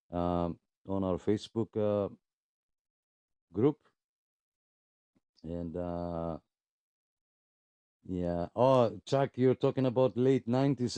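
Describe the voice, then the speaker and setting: A man hosts a casual radio club livestream in a low, mellow voice. He takes his time, pausing often, and the sound is close and dry. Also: clean tone, dry recording. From abroad, moved to Canada in 2001. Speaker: host of a radio club live net.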